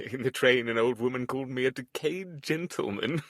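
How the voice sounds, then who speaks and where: An actor playing a gentle, shabby perpetual student in a visual novel, earnest and self-deprecating. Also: male voice, mid-range voice, normal speed, dry recording, studio quality, close to an everyday voice. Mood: rueful.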